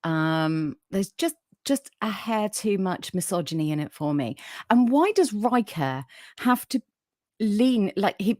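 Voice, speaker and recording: A woman's voice: mid-range voice, no echo. Woman, livestream host, soothing voice, chatty, dry close mic. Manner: chatty, warm toward viewers, many fillers. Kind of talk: casual live chat reading viewer comments.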